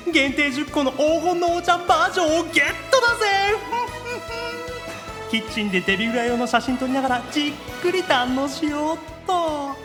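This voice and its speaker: A man voicing an excitable, geeky young male demon in a theatrical, exclaiming anime audio drama style. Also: very high voice, voice pushed up.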